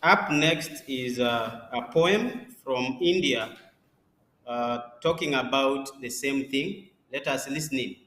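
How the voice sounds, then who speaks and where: Slow, bright-toned Kenyan man moderating. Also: medium pitch. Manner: unhurried, with frequent "uh" pauses. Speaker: summit moderator. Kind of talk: introducing program items. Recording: echoey room.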